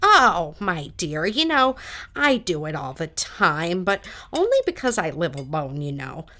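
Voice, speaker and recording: Woman doing nosy older lady neighbor, fussy rambler, bright voice all over the place, audio play. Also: on the low side, big pitch swings, unhurried, exaggerated voice. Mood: chatty, meddling. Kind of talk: audio drama dialogue.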